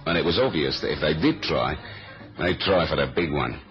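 An actor playing a laconic, wry British secret agent with a London accent in a 1970s radio spy drama. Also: male voice, extremely low pitch, moderate pace, soft background music. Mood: terse, serious. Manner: deadpan, informal. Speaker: actor and first-person narrator. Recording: dull old radio recording.

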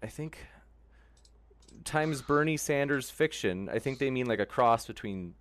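A male host in a middle-pitched voice moves quickly between viewer comments. He thanks people briskly and slows down to read each quote with care. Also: animated. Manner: brisk, reads quotes slowly and carefully. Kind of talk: livestream Q&A reading comments.

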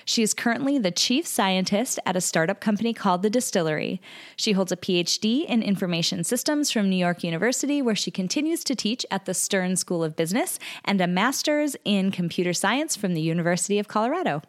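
A woman's voice: mid-range voice, expressive, a little fast. A woman hosting a podcast, reading an upbeat scripted introduction of her guest. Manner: upbeat, enthusiastic. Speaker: podcast host.

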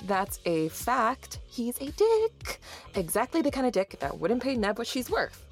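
A foul-mouthed, stubborn young American party planner in an audio horror comedy. Her voice is fairly high and lively, full of sardonic exasperation, over faint music. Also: measured pace, exaggerated voice.

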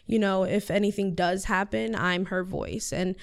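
A woman's voice: medium pitch, fairly even intonation, normal speed. A teenage girl whose first language is Spanish, answering interview questions earnestly, with many fillers and restarts. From United States. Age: teens. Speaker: high school student guest.

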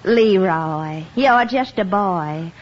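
A woman's voice: medium pitch, expressive, drawn-out words, slight background noise. A coquettish society lady. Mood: coy. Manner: coquettish, knowing, genteel. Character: flirtatious, self-assured society lady. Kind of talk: 1950s radio sitcom acting. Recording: muffled old radio broadcast.

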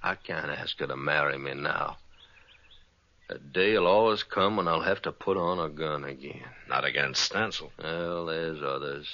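An actor playing a grave, world-weary man of the Old West in a radio drama, with a gruff voice. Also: a bass voice, measured pace, sharp tone, dry recording, exaggerated voice. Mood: weary, resigned. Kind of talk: 1950s radio western acting. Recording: muffled old radio recording.

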